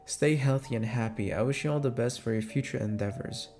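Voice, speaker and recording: A man's voice: medium pitch, brisk, darkish tone. A man with a Filipino accent chatting casually and candidly to listeners, answering questions in an informal way with the odd swear word. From Philippines. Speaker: ASMR voice actor and creator. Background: music.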